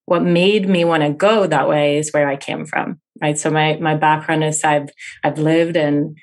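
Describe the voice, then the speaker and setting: A woman in her thirties, born in Finland and raised in Sweden, speaking English as a later language as an energetic podcast guest who laughs often. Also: low-pitched, normal speed. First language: Finnish or Swedish. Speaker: real estate investor, podcast guest. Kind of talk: casual interview.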